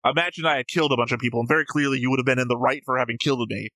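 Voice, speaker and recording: A man with a bright, forceful voice in a casual debate about a show. He argues his points analytically and swears casually. The sound is very dry. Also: medium pitch, fairly even intonation, very fast, dry recording. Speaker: host of an anime reaction show.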